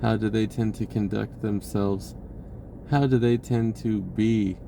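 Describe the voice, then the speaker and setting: A man giving an unhurried, reflective spiritual talk with many pauses, his voice lifting when he acts out an inner dialogue. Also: on the low side, steady tone, vocal fry, dark tone, slight background noise. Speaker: spiritual video host.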